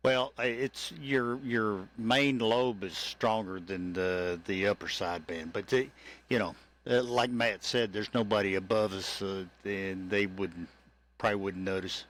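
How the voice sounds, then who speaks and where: A man, an amateur radio operator, chatting casually on air in a halting way. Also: lowish voice, fairly bright tone. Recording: faint background noise.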